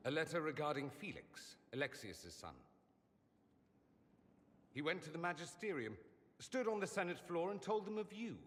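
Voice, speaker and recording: A witty, self-admiring mage for a fantasy video game. His speech is arch and sardonic, with lively swings of pitch. The voice rings in a long, reverberant hall. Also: moderate pace, long pauses. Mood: subdued grief. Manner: theatrical, arch, sardonic delivery. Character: witty, vain mage from a fantasy empire, wryly self-aware. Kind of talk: dramatic video game dialogue.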